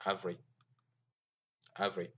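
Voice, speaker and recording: A man whose first language is Ewe, giving an informal language lesson in a slow, halting way with many fillers and restarts. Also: pushed and bright, a little hiss or hum. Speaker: teacher. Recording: dull lo-fi recording.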